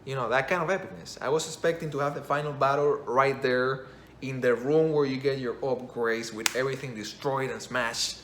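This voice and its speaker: A man's voice: mid-range voice, fairly even intonation, normal speed, a bit bright, a little hiss or hum. A man talking in English, which is not his first language, giving a casual spoken review with many restarts and self-corrections. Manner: rambling, self-correcting, enthusiastic. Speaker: game commentator. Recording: echoey room, faint ambience.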